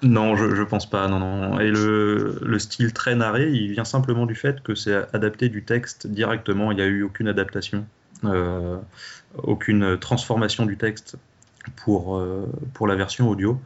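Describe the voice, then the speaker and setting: A man's voice: fairly low pitch, steady tone, normal speed, lo-fi sound. A man, an audio drama creator answering casually as an interview guest.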